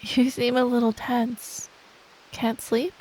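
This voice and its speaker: A woman playing a sweet, caring, teasing girlfriend in an intimate ASMR roleplay, talking softly and breathily. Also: a little high, flat delivery, a little hiss or hum, low-quality recording. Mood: drowsy, concerned. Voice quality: soft, breathy. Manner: slow, affectionate, playfully teasing. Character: sweet, teasing, caring girlfriend telling an improvised bedtime story.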